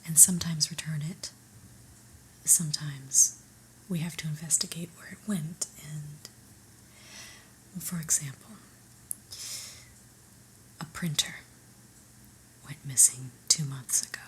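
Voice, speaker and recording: A woman playing an unimpressed, politely corporate new manager in a soft, whispered ASMR roleplay, with a measured, dryly disapproving manner. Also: sits low, a little hiss or hum, heavily acted, low-quality recording.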